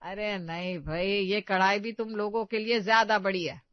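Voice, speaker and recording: A woman's voice: medium pitch, steady tone, normal speed, dry recording, highs cut off, close to an everyday voice. A woman voicing a bossy, middle-aged village mother-in-law who scolds and gives instructions. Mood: scolding.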